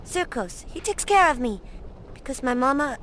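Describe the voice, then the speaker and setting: A young orphan girl from a game, very high and sharp-toned. She is blunt, spunky and defiant, over faint background ambience. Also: big pitch swings, moderate pace, slight background noise, low-quality recording. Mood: defiant, curious. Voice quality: bright, childlike. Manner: blunt, cheeky. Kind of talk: video game voice acting.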